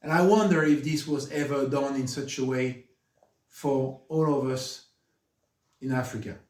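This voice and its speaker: A middle-aged French man with a French accent, giving a formal spoken introduction at a book discussion, earnest and halting, with frequent fillers. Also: mid-range voice, darkish tone. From France. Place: room with an audience. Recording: echoey room, mic at a distance.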